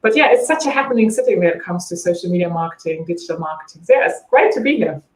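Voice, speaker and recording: A woman's voice: lowish voice, animated, fast. A woman from East Germany in her forties speaks English with a German accent. She chats as a guest and laughs between her stories. Her voice carries an echo from the room she calls in from. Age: 40s. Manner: chatty, laughs often. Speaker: guest, branding coach. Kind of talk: casual interview chat.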